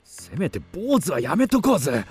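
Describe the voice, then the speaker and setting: Husky, high-voiced wary warrior. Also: male voice, sing-song, moderate pace, faint music behind, exaggerated voice. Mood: uneasy protest. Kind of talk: radio drama acting.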